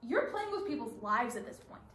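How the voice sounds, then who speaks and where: A woman's voice: a little high, big pitch swings, fairly quick, a bit bright. A woman performing readers theatre as a righteous, reproachful reality show contestant, speaking emphatically. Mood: indignant, reproachful. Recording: echoey room, some background noise.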